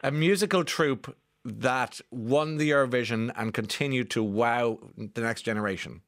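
A man's voice: medium pitch, normal speed, no echo, studio quality. A man hosting a morning radio show, reading listener texts and chatting with a caller. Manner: chatty, warm banter with callers. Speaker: radio host.

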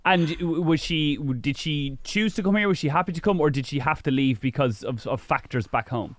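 A man's voice: a little high, brisk, no room sound. A man hosting a radio interview in a studio, chatty, with stumbling restarts. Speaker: radio host.

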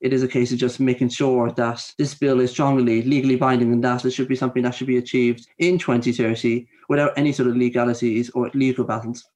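A man's voice: mid-range voice, little pitch movement, darkish tone. A man in his twenties from Ireland, a youth climate activist speaking earnestly on a panel, with frequent restarts. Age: 20s. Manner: fast, earnest, with many restarts and hedges. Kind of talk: panel discussion.

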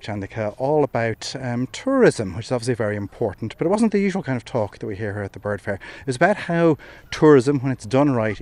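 A man's voice: medium pitch, a little mellow. A man speaking conversationally as an interviewer, introducing guests and asking questions. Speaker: podcast interviewer.

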